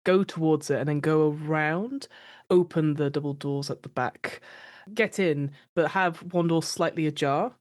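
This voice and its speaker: A woman's voice: low voice, moderate pace, darkish tone. A woman chatting casually and improvising as a player in a tabletop role-playing game. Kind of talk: improvised in-character play, casual chat.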